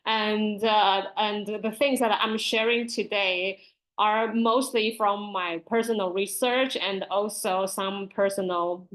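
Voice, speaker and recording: A middle-aged woman with a Taiwanese accent gives an informal online presentation, speaking haltingly and often repeating her words. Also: mid-range voice, low-quality recording. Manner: halting, with frequent repeated words and nervous laughter. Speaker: presenter, school librarian and author. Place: online video call.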